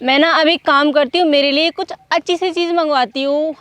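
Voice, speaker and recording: A woman's voice: sits high, fairly even intonation, a little fast, fairly bright tone, dry recording. A woman playing a vain, self-centred young mother in a moral-story skit, with exclamatory, theatrical delivery. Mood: delighted, eager. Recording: music under the voice.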